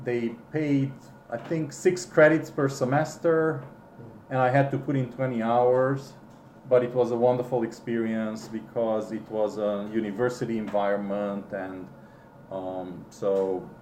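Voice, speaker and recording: A middle-aged man who is not a native English speaker, with a foreign accent, recalling his past slowly and hesitantly, with many ums and restarts. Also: mid-range voice, warm tone, reverberant, slight background noise. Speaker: interviewee. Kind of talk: casual recollection.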